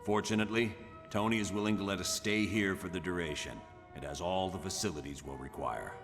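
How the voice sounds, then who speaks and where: An actor playing a stern, seasoned colonel in a video game, measured, matter-of-fact and authoritative. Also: male voice, low-pitched, over music. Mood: businesslike. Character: stern, seasoned male military colonel briefing his team. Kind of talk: video game cutscene acting.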